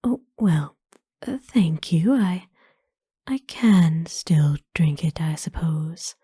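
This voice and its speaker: A woman playing a kind, courtly, centuries-old vampire in an ASMR roleplay, speaking tenderly and formally in a breathy whisper. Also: low voice, lively intonation, warm tone, dry recording, clean studio sound, full character voice. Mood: surprised, hesitant. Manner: formal, slow, tender, hesitant pauses. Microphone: close dry microphone.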